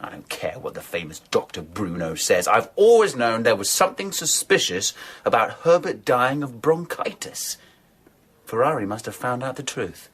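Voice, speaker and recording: An actor playing a forceful, protective, suspicious gentleman in a radio drama, urgent and commanding. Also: medium pitch, big pitch swings, moderate pace, husky. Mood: grim suspicion.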